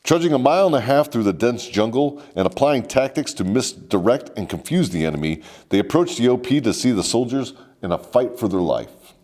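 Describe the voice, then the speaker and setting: A man's voice: medium pitch, big pitch swings, a little fast, hardly any pauses, darkish tone. A man hosting a scripted comedic military history story, mock-formal and sardonic with salty slang. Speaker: host and storyteller.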